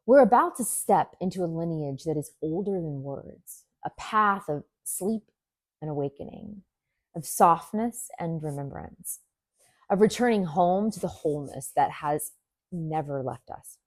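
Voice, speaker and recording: A woman teaching yoga nidra, leading a guided meditation in a gentle, soothing manner with deliberate pauses. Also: low voice, expressive, no room sound.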